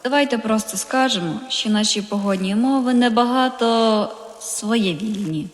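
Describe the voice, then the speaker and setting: A woman voicing a calm, caring young skeleton older brother in a comic dub, with a gentle, easygoing manner. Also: a little high, normal speed, big-room sound, close to an everyday voice. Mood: calm narrating.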